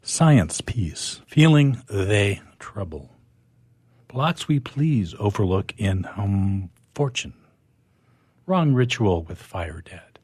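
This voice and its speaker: A man's voice: lowish voice, big pitch swings, slow pace, a little mellow, breathy edge, dry recording. A man taking part in a radio show as a poetry reader, speaking briefly and wryly.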